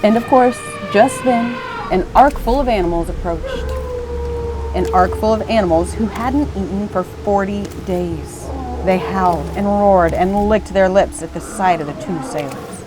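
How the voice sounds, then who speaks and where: A woman reading a picture book aloud as an expressive storyteller. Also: medium pitch, a little slow, music in the background.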